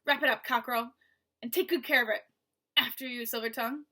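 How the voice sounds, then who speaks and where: A woman voicing a menacing male henchman with a rasping, taunting voice. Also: a little high, steady tone, fairly quick, pushed and bright. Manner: sneering, taunting.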